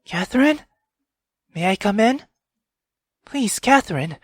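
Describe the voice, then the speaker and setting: A man's voice: high voice, animated, slow pace, no room sound, clean studio sound, voice pushed up, heavily acted. A man voicing an anxious, apologetic young woman in a visual novel, pleading urgently.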